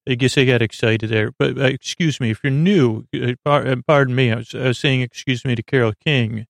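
Creaky-voiced man rambling a bedtime monologue. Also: medium pitch, no room sound. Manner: meandering, hesitant, halting, goes off on tangents. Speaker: podcast host. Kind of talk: rambling bedtime monologue meant to lull listeners to sleep.

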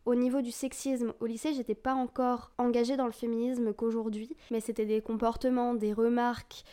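A woman's voice: a little high, flat delivery, moderate pace, dark tone, smooth. A woman in her twenties from France, hosting a podcast and telling her own story in a candid, confessional, casual way with fillers. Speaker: podcast host. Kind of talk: personal storytelling monologue.

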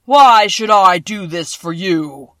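Woman reader doing a commanding booming wizard head, slow and loud. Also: expressive, no echo. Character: booming giant disembodied head, stern wizard.

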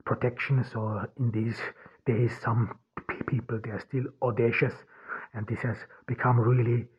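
Halting, husky man, native German speaker. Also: medium pitch, level tone. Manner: halting, many restarts and repeated words. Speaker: podcast host. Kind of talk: solo podcast monologue. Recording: tinny phone-like sound.

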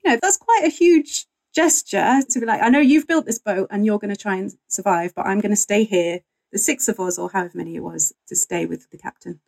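A woman's voice: mid-range voice, brisk, gravelly, few pauses, darkish tone, poor audio. A woman talking conversationally as a guest historian, telling stories in an interview.

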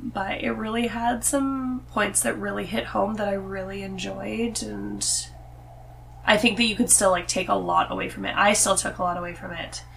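A woman's voice: mid-range voice, steady tone, normal speed, gravelly, a bit bright, slight background noise. A woman in her thirties chatting casually as a guest on a podcast. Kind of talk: casual chat about books.

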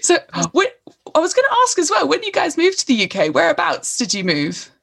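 Lively chatty young woman host. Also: extremely high pitch, fairly quick, creaky. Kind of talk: casual chat interview.

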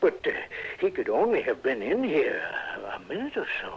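An actor playing a fussy, sentimental, self-important older safe maker in a 1960s radio drama, halting and repeating himself in a husky voice. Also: male voice, a little high, wildly swooping pitch, slight background noise. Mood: flustered, uncertain. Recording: dull old radio broadcast.

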